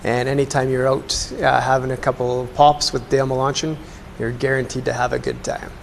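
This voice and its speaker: A man's voice: medium pitch, level tone, fairly quick, creaky. A Canadian man casually reminiscing in a tribute to a colleague. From Canada, Alberta. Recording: reverberant room, faint background noise.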